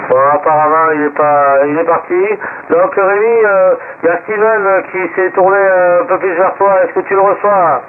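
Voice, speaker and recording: A man's voice: sits high, steady tone, moderate pace. A man heard through a two-way radio, casually relaying the microphone between operators in a group contact. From Bordeaux area, France. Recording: narrow, noisy CB radio transmission.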